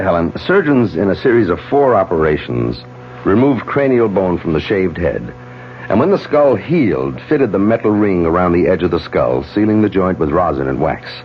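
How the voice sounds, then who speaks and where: Earnest, deep-voiced surgeon, old radio. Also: male voice, big pitch swings, normal speed, slight background noise. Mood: earnest, explanatory. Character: earnest, courteous middle-aged male brain surgeon. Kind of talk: 1970s radio drama acting. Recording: muffled 1970s AM radio broadcast.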